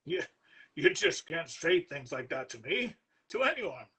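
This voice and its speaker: A man's voice: fairly high pitch, very animated, a little slow, pushed and bright, slightly rough, full character voice. A man voicing an ill-mannered, self-pitying war veteran in a Victorian story reading, curt, grumbling and sneering. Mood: flustered. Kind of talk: live dramatic story reading.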